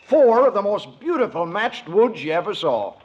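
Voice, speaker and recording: High-voiced, smug middle-aged neighbour. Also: male voice, big pitch swings, moderate pace, a little mellow, muffled recording. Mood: genial. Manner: teasing, self-satisfied. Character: smug, needling neighbour man, nearly forty. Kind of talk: 1950s radio sitcom acting.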